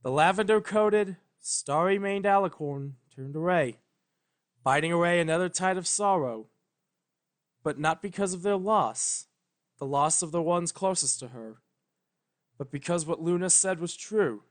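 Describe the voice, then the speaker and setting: Higher-pitched man narrating a story. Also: unhurried. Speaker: narrator, fan fiction reader. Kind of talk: dramatic scripted story narration.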